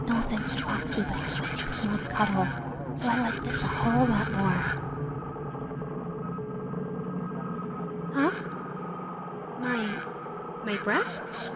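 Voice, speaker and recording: A woman's voice: high-pitched, heavy background noise. A woman voicing a flirty, menacing female animatronic fox in an audio roleplay, playful, coy and teasing. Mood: pouty, clingy. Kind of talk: audio roleplay addressed to the listener. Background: music.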